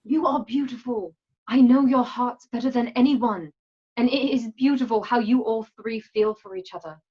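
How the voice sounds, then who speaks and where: A woman's voice: on the high side, slightly rough. Solemn, resolute sister played by a woman, muffled recording. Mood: earnest, tender. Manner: formal, solemn, measured phrasing. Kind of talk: online radio play acting. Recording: dull, lo-fi home microphone.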